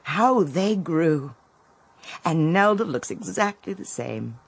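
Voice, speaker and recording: A woman's voice: low-pitched, big pitch swings, slightly rough. A middle-aged woman in a radio drama playing a mother, speaking in a languid, indulgent way. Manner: languid, indulgent, slow-spoken.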